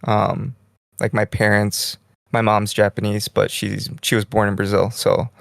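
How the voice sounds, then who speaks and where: A young man co-hosting a podcast in casual chat, rambling in a relaxed way and saying "like" constantly, lying in bed in a small bedroom. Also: on the low side, a little slow, creaky, no echo, clean studio sound. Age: young adult. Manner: relaxed, rambling, heavy use of "like". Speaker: podcast co-host.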